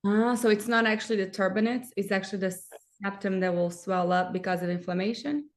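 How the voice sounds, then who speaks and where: A woman's voice: mid-range voice, fairly even intonation, fairly quick. A woman hosting a live interview as a myofunctional therapist, asking eager, enthusiastic questions.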